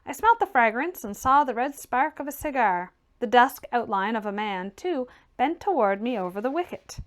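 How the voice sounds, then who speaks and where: A woman narrates a classic novel as an audiobook. Her voice sits fairly high, and she follows the written prose as a storyteller. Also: lively intonation, moderate pace. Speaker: audiobook reader. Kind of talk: scripted literary narration.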